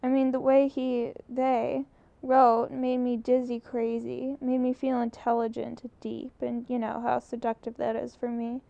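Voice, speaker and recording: A woman's voice: a little high, monotone, a little slow, gravelly, few pauses, warm tone, no echo. A woman voicing a restless, earnest young woman photographer in an audiobook. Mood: musing. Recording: muffled lo-fi recording.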